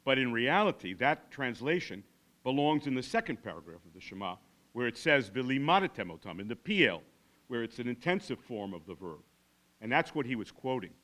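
A man of about eighty with an American accent, giving a keynote speech as storytelling in deliberate, measured phrases with dramatic pauses. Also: mid-range voice, sing-song, fairly bright tone. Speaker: keynote speaker. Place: large classroom before a seated audience. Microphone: podium microphone.

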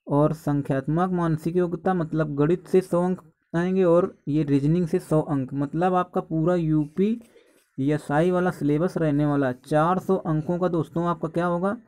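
A man's voice: a little high, warm tone. A man giving informal exam guidance as a coaching teacher, instructive and often addressing his students as friends.

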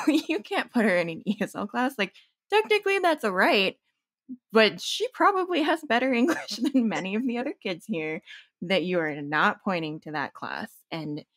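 A woman's voice: mid-range voice, very animated, normal speed, fairly bright tone, no echo. A woman who runs her own business, a podcast guest, speaking in a reflective way and laughing between thoughts. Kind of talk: casual interview chat.

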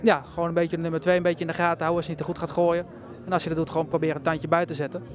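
A young man speaks at a very rapid clip in a slightly high voice. He answers a reporter's questions confidently and plainly. He is recorded on a handheld mic inside a bowling centre. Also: level tone. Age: 20s. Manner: confident, quick, plain-spoken. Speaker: bowling champion interviewed. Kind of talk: casual interview answers.

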